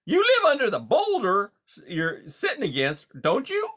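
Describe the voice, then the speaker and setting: A huge male beaver from a storybook, pitched high and swooping widely. He is a pushy, smooth-talking bully, brash and folksy, and he bulldozes through every conversation. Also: wildly swooping pitch, moderate pace, a bit bright. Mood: brash, interrupting. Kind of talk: audiobook character dialogue.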